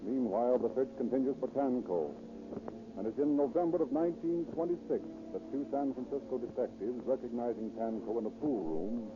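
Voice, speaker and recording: A man's voice: medium pitch, a little fast. A man narrating as a formal, declamatory announcer in 1930s scripted radio style. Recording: muffled, noisy 1930s radio recording.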